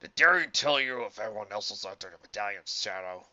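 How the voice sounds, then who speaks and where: A man voicing an angry, impatient adventurer archaeologist in a storybook, gruff and demanding. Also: lowish voice, expressive, a little fast, bright, forceful tone, dull audio. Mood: baffled, demanding.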